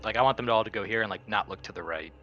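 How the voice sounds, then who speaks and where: Low-voiced man playing a tabletop game. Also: rapid-fire, dull audio. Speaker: player in a tabletop roleplaying game. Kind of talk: casual game chat describing his character's actions.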